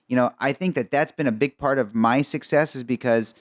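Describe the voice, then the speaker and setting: Male podcast host from Egypt, mid-pitched, chatty, dry studio sound. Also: no echo. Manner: upbeat, chatty, quick asides. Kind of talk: conversational interview.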